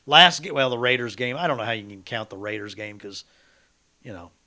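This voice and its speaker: A man's voice: medium pitch, lively intonation, a little fast, bright, forceful tone. An American man co-hosting casual sports talk radio, blunt and opinionated.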